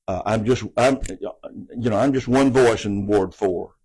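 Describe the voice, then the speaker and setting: An older man in his eighties talks in a low, earnest voice. He sounds folksy and plain. He often restarts and repeats words while he works out his point. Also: measured pace, darkish tone, breathy edge, low-quality recording. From American South. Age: 80s. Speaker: city council candidate. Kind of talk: interview answers.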